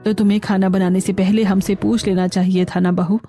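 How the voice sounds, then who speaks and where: A woman's voice: mid-range voice, little pitch movement, brisk, dark tone, voice pushed down, close to an everyday voice. A woman voicing a scolding middle-aged mother-in-law in a cartoon. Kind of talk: Hindi cartoon dialogue.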